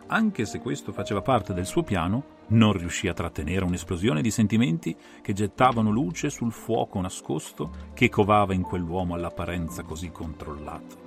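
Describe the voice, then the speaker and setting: A male narrator acting out a composed master detective from an audiobook drama. The voice is low and gravelly, with highly animated intonation. He explains things methodically and with great assurance. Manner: assured, methodical, expository, theatrical phrasing.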